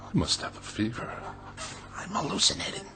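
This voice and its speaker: A man's voice: fairly high pitch, sing-song, moderate pace, vocal fry, pushed and bright, heavily acted. An actor playing a bitter, self-pitying hypochondriac husband in a radio drama, querulous and theatrical as he accuses and complains. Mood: bewildered.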